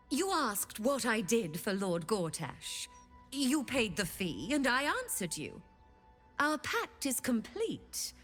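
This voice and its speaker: Lilting, sly devil merchant. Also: female voice, fairly high pitch, fairly bright tone, heavily acted. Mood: mock apologetic. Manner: theatrical, teasing, mock-courteous. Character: sly, greedy female devil diabolist selling infernal bargains. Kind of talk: video game character acting.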